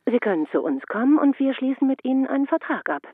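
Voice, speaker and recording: An actress playing a brisk, polite woman on the telephone in a radio drama, with dull, band-limited sound. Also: high voice, big pitch swings, dry recording. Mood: businesslike.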